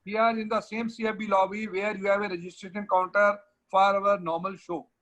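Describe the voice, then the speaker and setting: An Indian man with a fairly high voice hosts an online webinar, talking fast and moving briskly from point to point. He explains a virtual trade fair and steers a colleague through a live walkthrough. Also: level tone, a bit bright, muffled recording. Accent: Indian English. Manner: directs a colleague, switches between English and Hindi. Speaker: webinar host from the organizing body.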